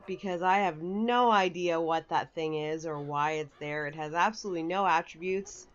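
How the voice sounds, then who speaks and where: A woman's voice: sits low, normal speed, highs cut off. A woman giving casual, chatty, rambling walkthrough commentary for a video game. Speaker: gaming video host. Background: faint game music.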